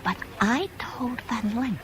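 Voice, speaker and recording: An actress playing a composed, businesslike woman in a radio drama, speaking in a measured, precise and discreet way. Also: fairly high pitch. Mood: discreet, intent. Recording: noisy old radio drama recording.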